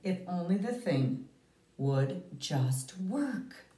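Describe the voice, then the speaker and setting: A woman reading a picture book aloud to children, with deliberate, emphatic phrasing and dramatic pauses. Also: on the low side, big pitch swings, warm tone. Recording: roomy, echoey, distant microphone.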